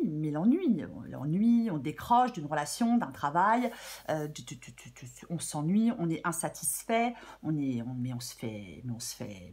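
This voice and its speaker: A woman with a French accent, slightly hoarse, giving a casual tarot reading to viewers and thinking aloud with many fillers and restarts. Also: mid-range voice. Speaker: tarot reader.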